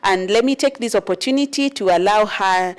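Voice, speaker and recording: A woman's voice: mid-range voice, a little fast. A woman hosting a talk show, earnestly introducing her guest and asking questions. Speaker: host.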